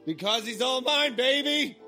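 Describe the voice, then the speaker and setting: A man's voice: very high voice, lively intonation, unhurried, bright, forceful tone, faint music behind, full character voice. An actor playing a brash, sarcastic young male delinquent in a comic audio drama, with slangy, incredulous banter. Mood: playful banter. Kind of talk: comic audio fiction acting.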